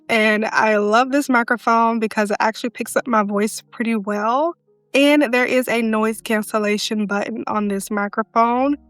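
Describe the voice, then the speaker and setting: A woman with a soft voice, chatting casually to the viewer as she tours her filming setup in her living room, holding a wireless mic. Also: medium pitch, no echo. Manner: chatty, talks straight to the viewer. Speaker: content creator. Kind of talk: casual home setup tour vlog. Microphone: handheld wireless mic. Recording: dry close mic with faint music.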